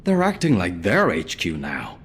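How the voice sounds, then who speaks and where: Grumbling game specialist; lowish, lively, sardonic. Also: male voice, a little slow, a little hiss or hum, exaggerated voice. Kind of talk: game cutscene dialogue.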